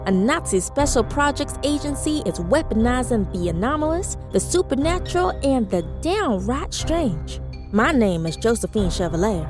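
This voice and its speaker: An actress playing a commanding, wry 1940s spy who narrates an audio drama with confident, dramatic delivery. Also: female voice, fairly high pitch, sing-song, moderate pace. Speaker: narrator and lead character. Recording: music under the voice.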